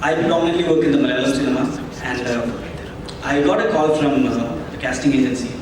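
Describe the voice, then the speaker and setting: A man's voice: medium pitch, a little fast, distant mic. An actor telling the press about his role, friendly and light. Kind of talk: press conference answers. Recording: echoey hall, mic from afar, noisy.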